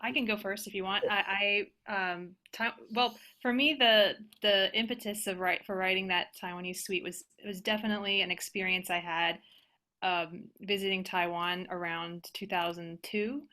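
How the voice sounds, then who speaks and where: Casting: American woman, bright forward voice, reflective and halting, informal panel talk over video call. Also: medium pitch, steady tone. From United States. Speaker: panelist, organist and composer. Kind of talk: casual panel discussion.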